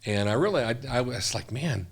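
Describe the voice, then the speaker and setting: A man's voice: medium pitch, animated, fairly quick, slightly rough. An older man, a missionary guest, talking earnestly in casual conversation, often restarting his sentences.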